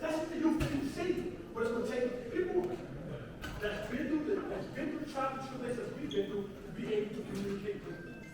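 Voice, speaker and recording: A man's voice: very high-pitched, fairly quick. A man with a New York accent gives an earnest testimonial speech in an emphatic, repetitive, colloquial way. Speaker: speaker at a community assembly. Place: large indoor hall, picked up from afar. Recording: very echoey hall, distant mic, clear noise.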